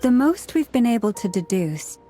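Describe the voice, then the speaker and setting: An actress playing a gentle, courteous woman in a fantasy game. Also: fairly high pitch, sing-song, normal speed. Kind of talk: game voice acting. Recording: game music behind the voice.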